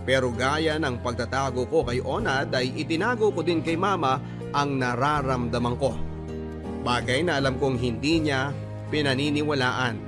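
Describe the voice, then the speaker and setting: A man with a mid-range voice narrates a letter in classic radio storytelling style. His delivery is measured and sentimental. Music plays prominently beneath him. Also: mid-range voice. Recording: voice over prominent background music.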